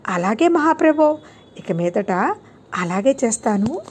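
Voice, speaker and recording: A woman's voice: fairly high pitch, big pitch swings, a little slow, darkish tone, slightly rough, exaggerated voice. A woman voicing a dim-witted but obedient young male disciple, earnest and deferential, in a children's storybook tale.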